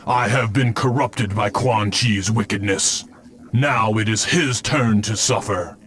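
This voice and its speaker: An actor voicing a vengeful undead ninja specter, stern, menacing and formal, in a video game cutscene. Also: male voice, lowish voice, slow pace, a bit bright. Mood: grim, menacing. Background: music.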